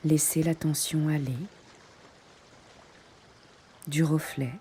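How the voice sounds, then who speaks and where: A woman guiding a meditation in soft, breathy short phrases with long pauses, often close to a whisper. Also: low voice, flat delivery, slight background noise. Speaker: yoga nidra meditation guide. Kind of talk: guided meditation.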